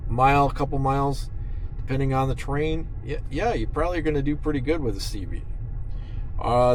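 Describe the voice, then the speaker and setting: A plainspoken American man with a mid-pitched voice gives an informal explainer. He rambles in a folksy way, with faint vehicle-like noise behind him. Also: darkish tone, rough recording. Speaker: homesteading channel host. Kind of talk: casual informal explainer vlog.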